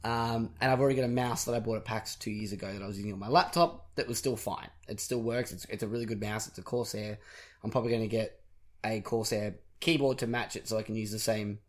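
A young man hosting a podcast in casual chat, his voice husky and raspy from a cold and singing, rambling and self-deprecating. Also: on the low side, fairly even intonation, fast talker. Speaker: podcast host.

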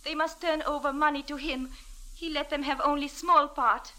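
Scared earnest young woman, old time radio, really high n clear-edged, broken english. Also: fairly even intonation, normal speed, bright, forceful tone. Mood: anxious, pleading. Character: anxious, pleading young Egyptian woman from poor quarter. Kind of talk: 1940s radio drama acting. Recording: old radio drama recording.

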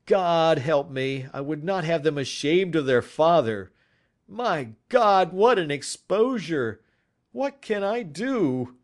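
A man voicing a despairing male prisoner who pleads slowly and with anguish. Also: a little high, animated, pitched above the performer's own voice. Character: despairing male prisoner, proud then broken.

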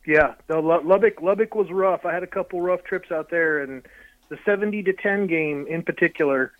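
A man near fifty chats about sports as a radio call-in guest. His voice sits a bit high and comes through a thin, dry phone line. He rambles, circling back and repeating phrases as he builds a point. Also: moderate pace, no room sound. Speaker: radio guest, college football reporter. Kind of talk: casual sports talk.

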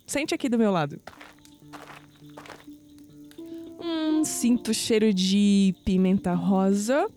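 Lady playing a curious young brazilian woman in an audio drama, warm mid voice, coy and a bit sceptical. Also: mid-range voice, close to an everyday voice. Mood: playful, intrigued.

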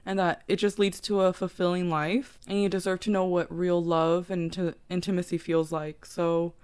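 A woman's voice: lowish voice, little pitch movement, normal speed, a little mellow, clear, resonant tone. A young woman co-hosting a casual podcast, talking earnestly and encouragingly, with the odd swear word. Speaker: podcast co-host. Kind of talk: casual chat.

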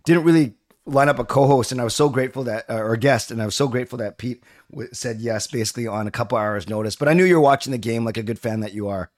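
A man hosting a casual sports podcast, his voice raspy and hoarse from a cold, talking in a chatty, easygoing way. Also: medium pitch, fast, darkish tone. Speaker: podcast host.

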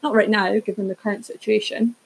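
A woman's voice: mid-range voice, little pitch movement, fairly quick, vocal fry, breathy edge, poor audio. A woman, chatting casually as a solo podcast host in a rambling way with self-aware asides. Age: 20s.

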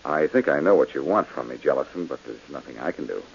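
An actor playing a calm, wry detective hero in 1940s radio acting on a dull old recording. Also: male voice, rumbling low, quick pace, gravelly, slight background noise, heavily acted. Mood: firm. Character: sharp reformed detective hero, man.

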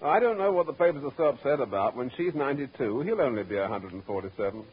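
An American man playing a wisecracking bandleader in a 1940s radio comedy, with a rough, husky voice. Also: medium pitch, animated, fast. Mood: sardonic. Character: husky, fast-talking male wisecracking bandleader. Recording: muffled old radio recording.

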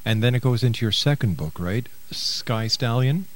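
Animated, encouraging male radio host. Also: medium pitch, moderate pace. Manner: encouraging, avuncular. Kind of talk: conversational interviewing.

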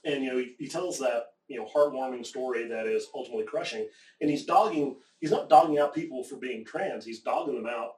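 A man's voice: medium pitch, a little fast, darkish tone. Rambling profane Southern man, echoey room. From American South, Appalachia. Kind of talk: casual conversation. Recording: echoey room, distant mic.

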